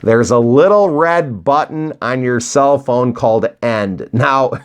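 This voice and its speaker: A man's voice: mid-range voice, slow, clean tone. A man coaching beginners in a motivational tutorial style, with a folksy, emphatic manner, rhetorical questions and little acted-out examples. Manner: animated, acts out imagined dialogue; folksy, encouraging. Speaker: real estate investing coach. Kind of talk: motivational tutorial talk to viewers.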